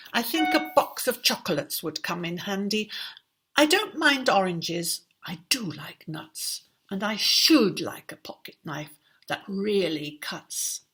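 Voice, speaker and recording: A woman's voice: mid-range voice, fairly bright tone, slightly rough, exaggerated voice. A woman voicing a lonely, childishly wishful king with a pleading, dramatic storybook delivery. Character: lonely, childishly wishful bad king, hopeful and self-pitying.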